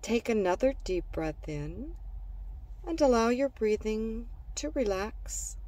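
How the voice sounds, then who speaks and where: A woman narrating a scripted guided relaxation for children in a gentle, soothing manner, leaving pauses between phrases. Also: a little high, expressive, far from the mic.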